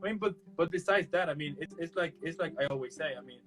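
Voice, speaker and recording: Chatty Spanish-speaking host, music behind. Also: male voice, fairly high pitch, quick pace. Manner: rambling, many restarts and "you know". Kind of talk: casual interview chat.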